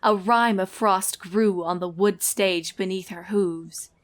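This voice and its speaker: A woman narrates a lighthearted story at a middle pitch. It is scripted storytelling, told as a reader of comic fiction would. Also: steady tone, slow.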